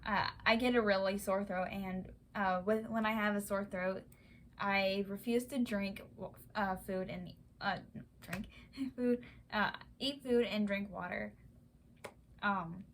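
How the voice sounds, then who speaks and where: Halting young woman, slow vlog talk. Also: medium pitch, steady tone, slight background noise. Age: young adult. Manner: halting, many fillers and restarts. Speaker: vlogger. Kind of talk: casual personal account.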